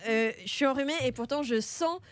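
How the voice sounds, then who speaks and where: A woman's voice: high voice, brisk, clean tone, no echo, studio quality. A woman hosting a casual behind-the-scenes podcast, teasing and chatty, with a head cold. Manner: chatty, teasing, many fillers and restarts. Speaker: podcast host.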